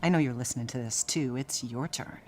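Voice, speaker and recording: A woman playing a fiercely loyal, sharp-tongued 1930s wife, scornful and emphatic, in a period radio drama. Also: a bass voice, brisk, background noise.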